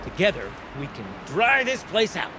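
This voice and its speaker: A man's voice: sits high, wildly swooping pitch, normal speed, background noise. An actor playing an eager male explorer in a video game, musing aloud theatrically. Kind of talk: dramatic video game dialogue.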